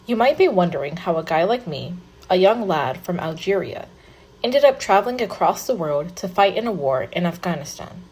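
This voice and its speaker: Woman voicing a young man, first-person storybook narration, husky, earnest read. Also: fairly low pitch, expressive, normal speed, a little mellow. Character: young man recounting his life story.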